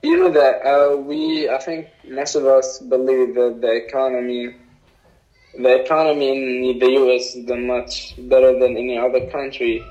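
A young man with an Arabic accent, a native Arabic speaker, answering interview questions in a halting way with many fillers. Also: mid-range voice, dark tone. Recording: dull lo-fi recording.

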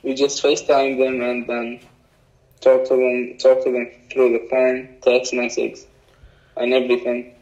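Young guy w arabic accent, halting answers, kinda dull lo-fi sound. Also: medium pitch, completely flat delivery, a little mellow. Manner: halting, with fillers and repeats. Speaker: interviewee. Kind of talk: casual interview answers. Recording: dull lo-fi recording.

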